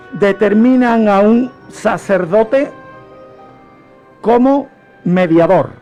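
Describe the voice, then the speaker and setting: A man with a Spanish accent from Spain speaks in a notably high voice and takes his time over each phrase. He tells history like a radio storyteller, repeating words for emphasis. Faint music plays underneath. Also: a little mellow. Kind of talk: animated historical storytelling on a radio talk show.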